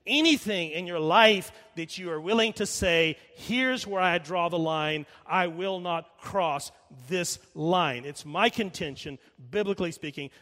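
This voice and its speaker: Middle-aged male pastor, emphatic sermon delivery with a fairly high voice, recorded in a church sanctuary with long reverb. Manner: emphatic, slows down and repeats for emphasis, drops quiet when storytelling. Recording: long reverb of a large hall.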